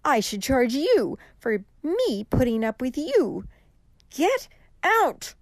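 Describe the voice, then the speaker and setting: Woman acting a haughty, slow-speaking scold. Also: high voice, very animated, no room sound, full character voice. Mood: indignant. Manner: curt, scolding, dismissive. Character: haughty, penny-pinching female garage customer, curt and indignant. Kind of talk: amateur radio mystery drama acting.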